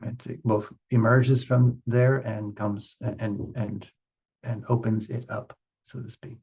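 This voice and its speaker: Gravelly-voiced male meditation teacher, slightly muffled. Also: mid-range voice, level tone, warm tone. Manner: halting, reflective, explanatory. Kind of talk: unscripted spiritual teaching with group questions.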